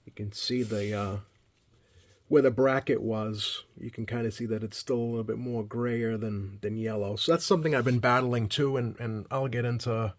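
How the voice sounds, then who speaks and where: A man hosting a hobby video with casual, unscripted commentary, talking in a halting, rambling way and often correcting himself. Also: lowish voice. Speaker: host of a radio hobby video.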